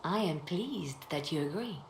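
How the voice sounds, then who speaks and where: An actress playing a cool, weary, ruthless female spymaster in a video game, speaking in a measured, stern and controlled way. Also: sits low, animated. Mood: cool, commanding. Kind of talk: video game dialogue acting. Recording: roomy echo, faint background noise.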